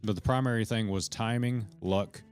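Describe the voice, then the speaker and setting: A man's voice: fairly low pitch, moderate pace, a little mellow, soft background music. A man chatting to viewers on his live stream, rambling from point to point, swearing freely and addressing people in the chat directly. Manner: rambling, profane, jumps between points; talks to chat members directly.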